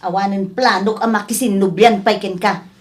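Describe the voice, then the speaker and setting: A woman playing an indignant, hurt but firm wife and mother in a radio comedy drama, sharp-tongued, with an Ilocano accent. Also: medium pitch, steady tone, normal speed, lightly acted. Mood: firm, dismissive.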